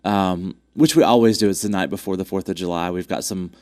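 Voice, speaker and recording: A man's voice: sits low, fairly quick, darkish tone, clean tone, no room sound, studio quality. A man with a congested voice, chatting upbeat about upcoming races with lots of fillers. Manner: upbeat, promotional, many fillers. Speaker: track club representative. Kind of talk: casual interview chat.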